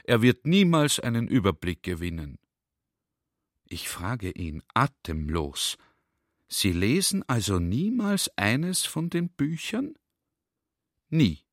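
A man's voice: medium pitch, sing-song, dry recording, clean studio sound. An actor playing a garrulous, naively sly army general who tells his adventure in a chatty, emphatic radio play monologue. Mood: astonished. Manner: chatty, emphatic storytelling, drawn-out quoted speech.

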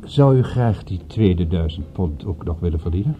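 A middle-aged businessman in a radio play, formal and calculating. His voice is on the low side with lively rises and falls, heard through a thin, phone-like old recording. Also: slight background noise. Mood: grave, businesslike. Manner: deliberate, formal, polite. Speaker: radio drama actor. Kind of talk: Dutch radio play dialogue. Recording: tinny narrow-band old radio, faint music.

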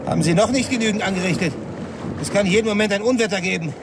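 An actor in a German radio play playing a stern, worried father, brisk and scolding. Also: high voice. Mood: angry. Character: stern, worried father taking charge at sea. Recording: wind and water noise, off mic.

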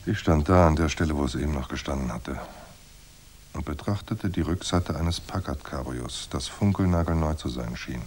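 An actor playing a hard-boiled private detective in a noir radio drama, dry, laconic and sardonic. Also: male voice, extremely low pitch, moderate pace, a little mellow, a little hiss or hum. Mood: dry, wry. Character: hard-boiled male private detective narrating, wry and unflappable. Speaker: narrator and lead actor.

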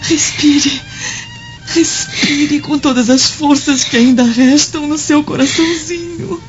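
A woman's voice: high-pitched, fairly even intonation, slow. An actress with a Brazilian accent playing an anguished, loving mother, tearful and tenderly melodramatic in a radio drama. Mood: tearful pleading. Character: anguished, loving mother pleading with sick child. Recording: dull lo-fi audio, loud music behind.

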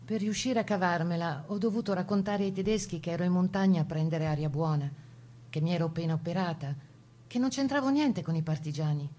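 A woman's voice: fairly low pitch, a little fast, mellow, close to an everyday voice. An actress as a composed woman partisan recalling wartime. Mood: tense recollection. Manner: composed first-person storytelling. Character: plucky Italian woman partisan recalling wartime Resistance missions. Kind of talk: dramatized testimony reading for radio.

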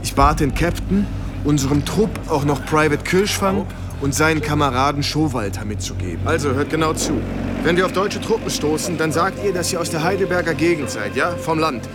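A man's voice: a little high, expressive, fairly quick, lightly acted. A man acting as a young, dutiful, uneasy US army lieutenant who narrates a war radio play in German. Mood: earnest. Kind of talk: radio drama narration and dialogue. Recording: music under the voice. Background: dramatic music.

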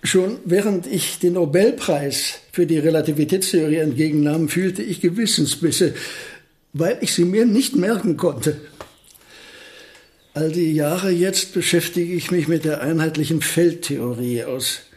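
An actor playing a gentle, absent-minded elderly genius professor in a radio play, speaking with hesitant, ponderous courtesy. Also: male voice, on the high side, unhurried, close to an everyday voice. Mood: musing, earnest.

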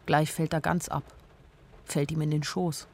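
A woman's voice: sits low, flat delivery, a little slow, darkish tone. Anxious young woman, intimate radio-play narration. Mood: grave, tender. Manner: intimate inner monologue in short plain sentences. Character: anxious young woman narrating her flight, inwardly urgent. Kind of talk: literary radio play narration in present tense.

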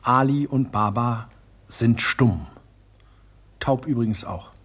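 A man's voice: medium pitch, takes it slowly, husky. An actor playing a pompous, misanthropic male antique collector in a radio drama. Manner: pompous, disdainful. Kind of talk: German radio play acting.

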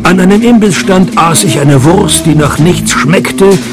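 An actor playing a sardonic, world-weary private detective who narrates and talks in a deadpan, laconic way in a comic noir radio play. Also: male voice, sits high, unhurried, loud music behind the voice. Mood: dry narrating. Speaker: lead character and narrator.

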